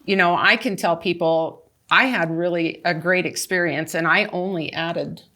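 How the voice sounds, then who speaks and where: A woman's voice: lowish voice, steady tone, normal speed, pushed and bright. A woman in her early sixties speaking conversationally as a consultant on a panel, sharing personal testimonial.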